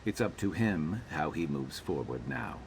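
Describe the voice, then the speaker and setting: An actor playing a gruff, guarded lizard-man companion in a video game, speaking in a dry, blunt, measured way. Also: low-pitched, sing-song, a little hiss or hum, full character voice. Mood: guarded, matter-of-fact. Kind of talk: video game character dialogue.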